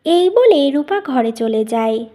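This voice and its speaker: High-pitched woman narrating a story. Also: expressive, takes it slowly, warm tone.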